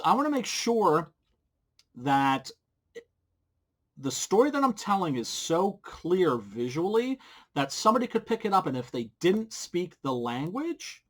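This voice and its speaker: A middle-aged man hosting a casual how-to vlog, talking in a blunt, informal way. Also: a little high. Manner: blunt, informal, mildly profane. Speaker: comic book creator and video series host. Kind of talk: casual instructional vlog to camera. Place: small wood-panelled room at home, indoors. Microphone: large studio mic on boom arm with pop filter.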